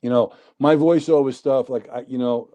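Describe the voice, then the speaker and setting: Very dark-voiced New York man. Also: mid-range voice, moderate pace. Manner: rambling, animated storyteller. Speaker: interview guest. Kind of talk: casual storytelling.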